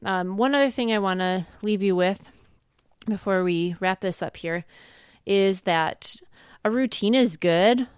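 A woman's voice: mid-range voice, measured pace, clear, resonant tone, no room sound, studio quality. A woman, a podcast co-host, chatting casually and encouragingly as she shares advice and anecdotes from home life. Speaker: podcast co-host, homeschooling mother.

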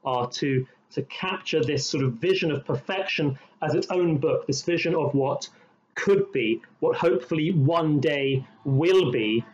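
Measured rabbi, slightly dull sound. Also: male voice, on the high side, darkish tone. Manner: measured, thoughtful, slowing on key phrases. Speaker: rabbi, podcast co-host. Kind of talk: reflective conversational discussion.

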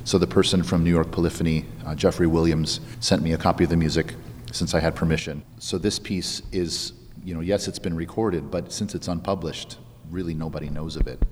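Male interviewee, music director type: low voice, thoughtful, explanatory, conversational. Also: fairly even intonation, brisk, a little mellow, in a large hall, a little hiss or hum. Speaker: music director of an a cappella group being interviewed.

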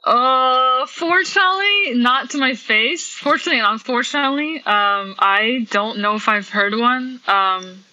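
A woman's voice: a little high, unhurried, few pauses, sharp tone, muffled recording. A woman percussionist with a slight Polish accent answering interview questions casually, with many fillers and light laughs. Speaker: percussionist guest.

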